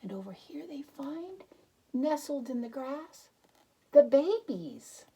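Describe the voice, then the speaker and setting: A woman's voice: high-pitched, slow pace, far from the mic. A woman reading a picture book aloud to children, expressively, with chatty explanatory asides. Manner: expressive, explains words and asks the listener questions. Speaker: children's storybook reader.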